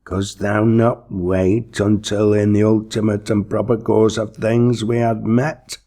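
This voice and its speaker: A man's voice: level tone, takes it slowly, dark tone. A man voicing a mocking, menacing devil in a storybook, with pompous, archaic, taunting speech. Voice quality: shrill, piercing, unpleasant. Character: mocking, menacing male devil, impatient and gleeful. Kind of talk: storybook character voice.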